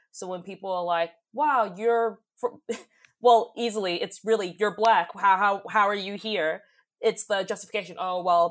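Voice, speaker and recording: A young woman, reflecting thoughtfully and expressively in a conversational interview. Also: fairly low pitch. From New Zealand. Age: young adult. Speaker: podcast guest, youth advocate and poet.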